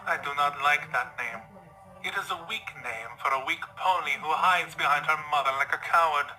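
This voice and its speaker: A man's voice: on the high side, normal speed, over music, voice pushed up, natural voice, low-quality recording. A man voicing a stern, domineering vampire pony stallion who speaks coldly and formally in a dramatic fan fiction reading. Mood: disdainful. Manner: cold, commanding, formal.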